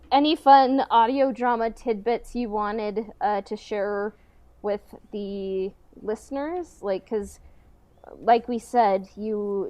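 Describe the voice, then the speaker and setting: A woman's voice: a little high, darkish tone, dull audio. A woman hosting a podcast in casual, joking chat, sprinkling her sentences with "like" and "you know". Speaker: podcast host.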